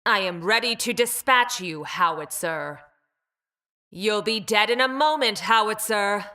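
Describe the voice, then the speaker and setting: A woman voicing a cold, haughty, cat-like female bioweapon in a video game, speaking formally with measured, precise enunciation and clinical detachment. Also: medium pitch, sharp tone. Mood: cold, threatening. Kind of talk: video game character voice lines.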